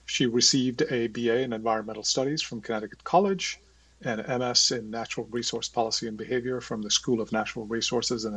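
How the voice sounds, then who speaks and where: A man hosting an interview podcast, speaking in a measured, formal way. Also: medium pitch, husky, dry recording, distant mic, rough recording. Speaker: podcast host interviewing a guest. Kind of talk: podcast interview with scripted introduction.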